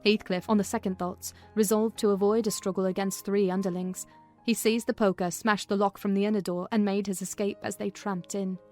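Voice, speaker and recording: A woman's voice: mid-range voice, little pitch movement, fairly quick, a little mellow, soft background music, lightly acted. A woman playing a sensible, composed household servant, narrating a classic novel as scripted storytelling.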